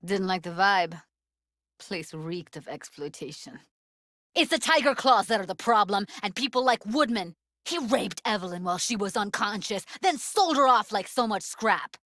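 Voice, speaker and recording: A woman's voice: mid-range voice, lively intonation, normal speed, a bit bright, no echo, clean studio sound, lightly acted. An actress playing a tough, sardonic, emotionally raw young woman techie in a video game, talking in a blunt, slangy, streetwise way. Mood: angry, heated. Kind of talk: naturalistic video game voice acting.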